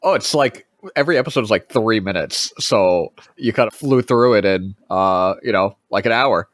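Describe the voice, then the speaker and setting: A man co-hosting a podcast in casual chat, animated and wry, quick to push with questions. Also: fairly low pitch, no echo. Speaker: podcast co-host.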